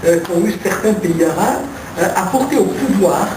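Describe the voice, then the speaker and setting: A man on an academic panel explains his view in a measured, lecture-like manner, posing rhetorical questions. His voice sounds distant and roomy, with clear noise in the background. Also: high voice, animated. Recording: echoey room, distant mic, background noise.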